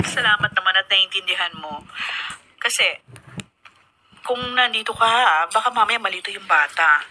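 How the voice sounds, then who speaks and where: Possessive Filipino adoptive mother, blunt, medium pitch, radio drama delivery, noisy recording with clicks. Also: moderate pace, sharp tone. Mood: blunt, uneasy. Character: blunt, possessive married woman, an adoptive mother running her household.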